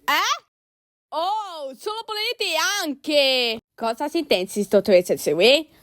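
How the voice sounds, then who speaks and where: A woman's voice: high voice, animated, slow pace, fairly bright tone, no echo, clean studio sound. A woman doing an amateur cartoon fan dub, acting out several characters in an exaggerated, exclamatory way.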